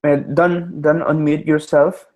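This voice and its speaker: A man's voice: fairly high pitch, flat delivery, slow pace, a little mellow. A man, presenting to an online study group, hesitant and full of filler sounds. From Mexico. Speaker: student presenter. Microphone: video call.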